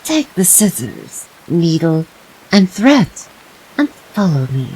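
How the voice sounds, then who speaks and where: A storybook mother goat, grieving yet resolute, voiced by a woman reading aloud. Her voice is on the low side and mellow, and she speaks in slow, varied lines. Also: very animated, slightly rough, heavily acted, low-quality recording.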